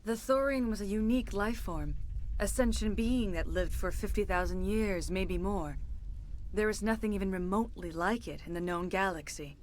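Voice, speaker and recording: An actress playing a centuries-old alien disciple in a video game, speaking formally with grave, measured phrasing. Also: female voice, mid-range voice, a little mellow, slight background noise, natural voice. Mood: awed, mournful. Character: centuries-old female alien disciple, solemn, remorseful and serene. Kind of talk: video game dramatic acting.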